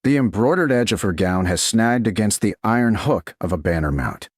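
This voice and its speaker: A man narrating a scripted romance audiobook in a slow, measured, dramatic manner. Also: lowish voice, lively intonation, no echo, studio quality.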